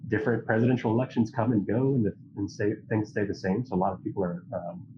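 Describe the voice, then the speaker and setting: A man's voice: lowish voice, quick pace. A man talking as a guest in a casual chat, stuffy from a cold, earnest and rambling with many fillers. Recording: dull lo-fi audio with faint hiss.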